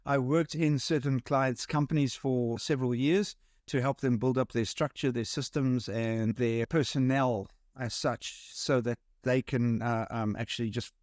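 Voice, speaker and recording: A middle-aged man with a South African accent talks through his work in a relaxed, halting way, full of fillers and restarts. His voice sits at an ordinary pitch and is recorded close and dry, with no room sound around it. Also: no room sound. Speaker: business consultant guest. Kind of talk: casual interview answers.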